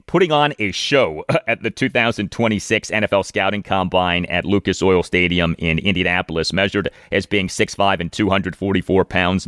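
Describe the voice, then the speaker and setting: An American man hosting a sports interview, reading out stats and posing prepared questions in a deliberate, announcer-like way. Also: sits low, a bit bright, no echo, clean studio sound. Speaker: sports podcast host, interviewer.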